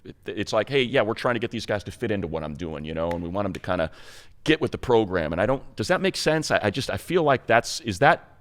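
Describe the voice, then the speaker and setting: American man, low-pitched voice, sports podcast co-host, conversational, wordy, rephrasing questions. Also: expressive, quick pace. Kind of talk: conversational interviewing.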